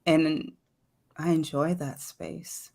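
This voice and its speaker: A woman in casual, reflective conversation, speaking thoughtfully in halting phrases. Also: low voice, flat delivery, creaky, warm tone. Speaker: poet, guest speaker.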